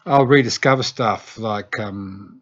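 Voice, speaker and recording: A man's voice: fairly low pitch, takes it slowly, fairly bright tone. A man, chatting loosely as an interview guest, with many restarts and fillers. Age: 60s. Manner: rambling, lots of restarts and fillers. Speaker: guest, record producer. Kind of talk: casual interview chat. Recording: dull lo-fi recording.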